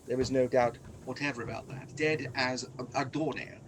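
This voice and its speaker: A man's voice: mid-range voice, fairly even intonation, normal speed, background noise, natural voice. A man acting a gloomy, troubled older uncle in a comic radio play, weary and exasperated.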